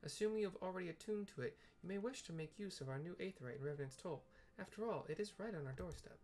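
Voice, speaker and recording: A man voicing an earnest woman who leads a secret order in a fantasy game, speaking in measured, formal sentences. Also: high-pitched, animated, darkish tone, voice pushed up. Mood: apologetic, instructing.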